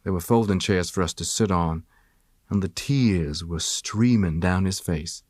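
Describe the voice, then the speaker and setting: A man's voice: low-pitched, a little slow, a little mellow, no echo, studio quality. A man reading a first-person memoir as scripted audiobook narration. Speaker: audiobook reader.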